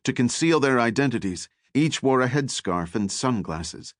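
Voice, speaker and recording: A man narrating adventure fiction as an audiobook, with a measured, deliberate and dramatic delivery. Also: medium pitch, animated, no room sound, studio quality.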